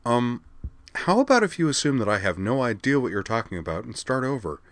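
A blunt, excitable schoolgirl heroine of a fantasy storybook, as read by a man. The talk is colloquial and incredulous, full of questioning lifts, in a dry, close recording. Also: medium pitch, a little fast, dry recording, pitched above the performer's own voice. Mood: blunt, confused. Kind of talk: fantasy audiobook character dialogue.

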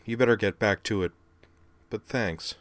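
A man voicing an exasperated, sarcastic young woman, his voice lifted in pitch. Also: moderate pace, dry recording, lightly acted. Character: exasperated young woman, sharp-tongued tech worker.

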